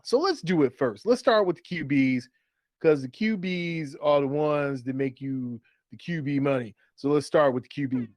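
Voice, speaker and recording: A man's voice: a little high, darkish tone, no room sound. A man hosting a sports talk show with upbeat fantasy football banter, playful and fond of repeated phrases and rhetorical questions.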